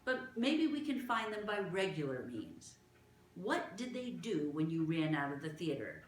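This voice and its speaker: A woman doing a gentle small old gentleman. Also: on the low side, big pitch swings, unhurried, slight background noise. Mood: mild. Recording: roomy echo.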